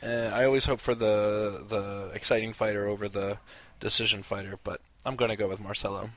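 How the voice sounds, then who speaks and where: A man's voice: fairly low pitch, level tone, brisk, fairly bright tone, no echo. A man co-hosting a casual sports podcast, laid-back and wry, teasing his partner. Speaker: podcast co-host.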